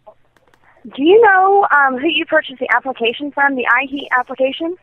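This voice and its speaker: A woman's voice: high voice, lively intonation, normal speed. A woman on a telephone line, an earnest, talkative customer care supervisor speaking in a reassuring way. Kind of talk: customer service phone call.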